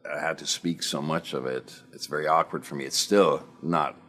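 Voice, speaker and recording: Man, 50s, slight German accent, extremely low voice, earnest self-help talker. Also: steady tone, moderate pace, a bit bright. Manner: reflective, earnest storytelling. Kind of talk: personal monologue to camera.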